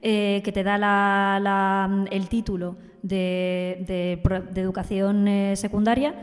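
A young woman speaking as an interview guest, explaining things in a hesitant, halting way. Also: mid-range voice, level tone, soft background music. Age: young adult. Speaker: student teacher, interview guest. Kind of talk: casual spoken interview. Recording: long hall-like reverb.

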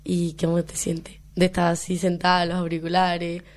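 A woman's voice: on the low side, completely flat delivery, moderate pace. Young woman co-hosting a radio chat. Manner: curious follow-up questions. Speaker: radio co-host. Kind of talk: casual radio interview. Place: radio studio.

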